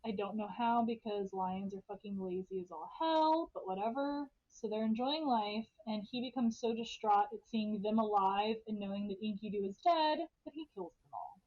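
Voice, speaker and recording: A woman's voice: mid-range voice, normal speed, few pauses, darkish tone, lo-fi sound. A woman hosting a podcast, retelling a story in a casual, irreverent way with slang and swearing. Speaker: podcast host telling a story.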